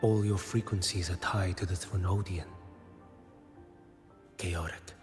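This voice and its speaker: Blind bodyguard game character, really low voice, grave n cryptic, big echo, music under. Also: male voice, steady tone. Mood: solemn, probing. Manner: measured, cryptic, formal. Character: blind, solemn watchful male bodyguard and investigator. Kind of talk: scripted video game dialogue. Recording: long reverb, music underneath.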